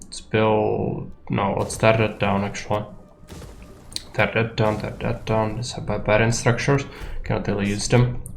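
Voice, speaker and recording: A man with a Czech accent thinks aloud while streaming a strategy game, his low voice staying fairly flat as he weighs each move. He talks plainly and bluntly, as if to himself. Faint music plays quietly behind him. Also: measured pace, creaky. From Czech Republic. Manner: musing aloud, blunt and matter-of-fact. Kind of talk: casual gameplay commentary.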